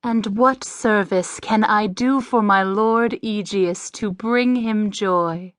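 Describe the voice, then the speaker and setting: An actress playing a gracious, commanding sorceress in an audiobook drama, speaking formally and deliberately. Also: female voice, medium pitch, no echo, studio quality, close to an everyday voice. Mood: gracious, inviting.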